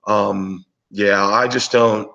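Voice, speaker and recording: Raspy, hoarse man, low voice. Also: fairly even intonation, slow pace, bright, forceful tone, dull audio. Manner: rambling, with many fillers and restarts. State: hoarse from bronchitis. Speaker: livestream show host. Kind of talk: casual live-stream chat with viewers.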